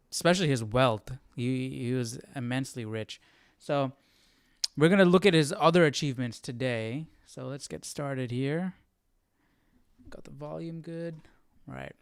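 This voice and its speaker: A man's voice: mid-range voice, clean tone, dry recording. A man hosting a livestreamed study session, musing aloud informally and reading from notes. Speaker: host of a Bible study livestream. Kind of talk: casual live study commentary, thinking aloud and reading notes.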